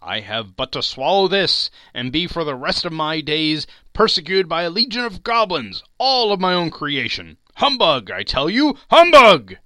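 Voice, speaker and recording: A man performs an old storybook miser, testy and easily frightened. His voice is high and sharp, leaping widely in pitch. He speaks in agitated, exclamatory, pleading bursts. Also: sing-song, normal speed, few pauses, dry recording. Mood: irritable, defiant. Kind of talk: dramatic storybook reading.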